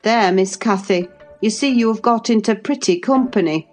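A woman reading the lines of a sensible woman servant who gently chides and soothes a girl, close to her own voice. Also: medium pitch, moderate pace, soft background music, voice pushed up, close to an everyday voice. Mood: reproving. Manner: gentle, reproving.

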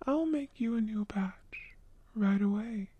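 A woman's voice: mid-range voice, slow, husky, no room sound, lo-fi sound, voice pushed up. A woman voicing an eerily sweet old woman monster from a horror story, doting and cooing over children. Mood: sweetly doting.

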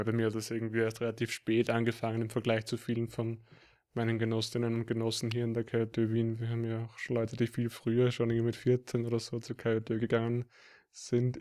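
A man's voice: medium pitch, darkish tone. A man, rambling casually with many fillers. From Upper Austria. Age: 20s. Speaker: student co-host. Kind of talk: casual podcast chat.

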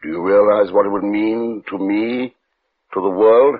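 Obsessed scientist, thin vintage radio sound. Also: male voice, lowish voice, unhurried. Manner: intense, insistent. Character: obsessed male scientist, driven and secretly frightened. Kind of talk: old-time radio drama acting. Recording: muffled, phone-thin old radio recording.

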